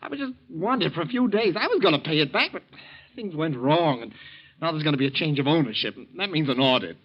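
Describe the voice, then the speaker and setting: An actor playing a desperate, weak-willed grown son in a 1940s radio drama, halting and anxious. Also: male voice, fairly high pitch, expressive, husky. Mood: nervous, evasive. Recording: muffled 1940s radio recording.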